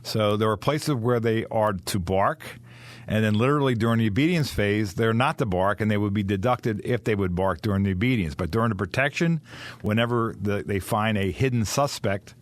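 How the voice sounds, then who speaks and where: A man with a fairly low voice explains working-dog trials in earnest, thorough detail. He is a professional trainer speaking as an interview guest. The sound is dry and close, with no room around it. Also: brisk, no echo. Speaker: dog trainer, kennel owner.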